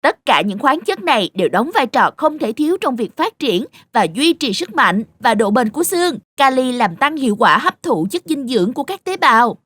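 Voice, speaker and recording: High, lilting talking durian fruit. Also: female voice, big pitch swings, normal speed, hardly any pauses, fairly bright tone, no room sound, heavily acted. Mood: proud, instructive. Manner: explanatory, sing-song, friendly. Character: friendly, proud talking durian fruit, eager young teacher. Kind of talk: children's cartoon dubbing, explaining nutrition.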